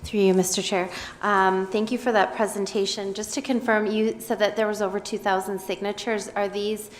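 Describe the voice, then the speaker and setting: A woman's voice: medium pitch, level tone, normal speed. Polite woman questioning in a chamber. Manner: polite, probing. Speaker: committee member. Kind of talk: questioning at a meeting. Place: council chamber. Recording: roomy chamber sound.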